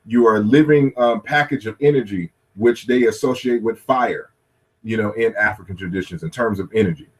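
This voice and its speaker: A man's voice: lowish voice, brisk, breathy edge. A man lecturing through slides at a workshop, thinking aloud with many "you know" fillers and restarts. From United States.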